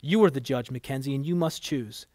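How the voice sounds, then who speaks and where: A man voicing a wise divine woman judge in a story, measured, solemn, firm and tender. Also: mid-range voice, dark tone, dry recording, studio quality, natural voice. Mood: stern, probing.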